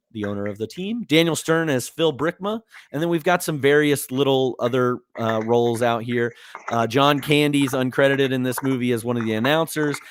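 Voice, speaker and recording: Kinda hoarse guy hosting a chill podcast, rambly with lots of uhs, dry close sound. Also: medium pitch, no room sound. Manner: easygoing, rambling, with many "uh" fillers and restarts. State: a little hoarse. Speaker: podcast host. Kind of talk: casual movie podcast chat.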